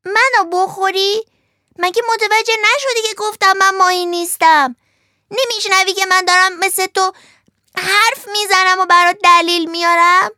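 A woman putting on a small puppet boy, his voice pitched very high and bright, shrill and pleading as he argues for his life. The storybook reading sounds dry and close, with no room around it. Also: steady tone, no room sound. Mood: indignant.

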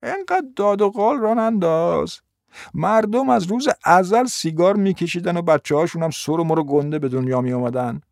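Man putting on a brash, high-voiced old grandmother. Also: dry recording, studio quality. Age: older. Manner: scolding, irreverent, grumbling. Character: brash, sarcastic, defiant old grandmother who smokes.

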